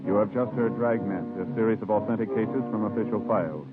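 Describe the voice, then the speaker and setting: A man's voice: lowish voice, fast. An American man reading formal scripted announcements in 1950s radio style. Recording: tinny vintage radio recording over music.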